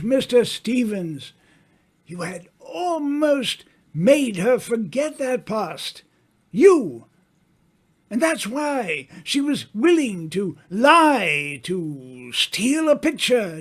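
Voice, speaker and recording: A man playing a worldly, self-assured older writer in an old-time radio mystery, speaking grandly with teasing, dramatic pauses. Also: high voice, sing-song, exaggerated voice. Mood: knowing, persuasive.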